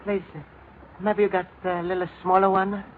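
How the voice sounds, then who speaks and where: An actor playing a kindly, lonely Italian immigrant in a radio comedy, speaking earnest, bewildered broken English with an Italian accent. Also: male voice, high-pitched, level tone, normal speed, lightly acted. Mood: dismayed. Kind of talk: old-time radio comedy acting. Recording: noisy, tinny old radio recording.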